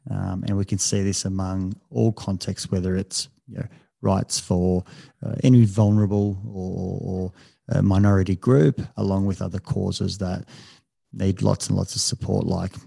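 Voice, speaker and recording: A man's voice: deep voice, little pitch movement, moderate pace, gravelly, dark tone, breathy edge, dry recording. A man hosting a psychology podcast, talking casually with lots of fillers and restarts. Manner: hesitant, many restarts and fillers. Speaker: podcast host. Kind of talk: casual interview.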